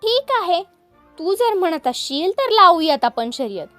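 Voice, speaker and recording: A woman voicing a calm, humble, steady male tortoise in a children's story, explaining earnestly and without hurry. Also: very high voice, a little slow, dry recording, faint music behind, pitched above the performer's own voice, heavily acted. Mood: calm, agreeing.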